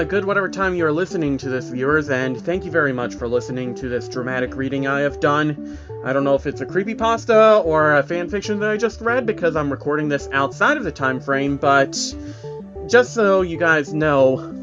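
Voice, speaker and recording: Male narrator for amateur horror-fiction readings, slightly high voice, music underneath. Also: highs cut off. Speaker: narrator, channel host reading fan stories. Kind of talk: dramatic reading of a first-person creepypasta.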